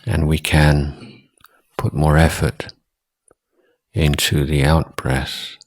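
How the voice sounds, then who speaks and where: Very deep, unhurried husky man guiding meditation. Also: little pitch movement. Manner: measured, deliberate, short phrases with long pauses. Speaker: Buddhist monk, meditation teacher. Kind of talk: guided meditation instruction.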